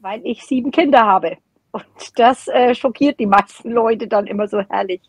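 A woman's voice: a little high, moderate pace. A middle-aged woman chatting casually as an interview guest on a video call from a room at home. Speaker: podcast guest, teacher. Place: at a table in a room at home, indoors.

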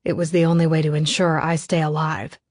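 A menacing criminal man from an audiobook thriller , acted by a woman narrator. He is desperate, contemptuous and goading. The voice is lowish and closely dry. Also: little pitch movement, fairly quick, darkish tone, dry recording. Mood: menacing, spiteful.

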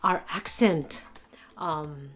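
A woman's voice: low voice, drawn-out words, fairly bright tone. A woman in her forties from the Philippines with a strong Filipino accent, chatting casually and rambling to a webcam in her living room. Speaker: vlogger. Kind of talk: casual talk to camera. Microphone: webcam or phone at arm's length.

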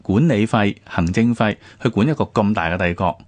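A man's voice: on the low side, expressive, moderate pace, darkish tone, no room sound. A man from Hong Kong with a Hong Kong Cantonese accent discusses history conversationally, explaining points with rhetorical questions. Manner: explanatory, with rhetorical questions and frequent sentence particles. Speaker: radio programme presenter. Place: radio studio.

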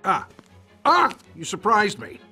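High-pitched, flustered reporter. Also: male voice, wildly swooping pitch, unhurried, pushed and bright, husky, soft background music, full character voice. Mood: nervous. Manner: flustered, ingratiating. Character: nervous, apologetic intruding newspaper reporter. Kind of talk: video game cutscene acting.

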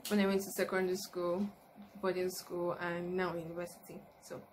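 A woman's voice: on the low side, flat delivery, fairly quick. Casting: woman, early twenties, Nigerian accent, informal YouTuber chat, home recording with audible background noise. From Lagos, Nigeria. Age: early 20s. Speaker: YouTuber, university student. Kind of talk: casual chat to camera, question and answer. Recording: noticeable background noise, room ambience.

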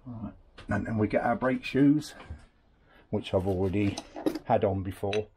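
A man's voice: lowish voice, measured pace, a little hiss or hum. A man talks casually through a repair in a workshop while he works at a bench. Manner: relaxed, chatty, talks while working with hands. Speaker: scooter mechanic demonstrating a rebuild. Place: indoor workshop at a workbench. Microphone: camera on the bench, speaker off to the side.

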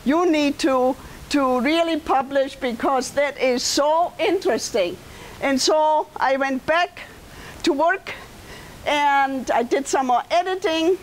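An older woman with a heavy German accent, giving a loud, projected talk to an audience in a library meeting room. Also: high-pitched, slight background noise, rough recording. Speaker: guest speaker. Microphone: far camera.

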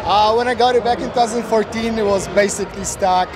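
A man's voice: high-pitched, steady tone, fast talker. A man in his thirties chatting casually as a guest, laid-back with dry, reluctant jokes, in a large indoor show hall. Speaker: car owner guest. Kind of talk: casual chat. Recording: noisy crowded indoor hall. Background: crowd chatter and hall noise.

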